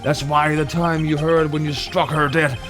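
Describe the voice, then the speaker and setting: A man voicing an excitable young male defence lawyer in a comic game reading, melodramatic and prone to faltering. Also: a little high, normal speed, over music, close to an everyday voice. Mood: triumphant. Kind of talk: comic amateur video game dramatic reading.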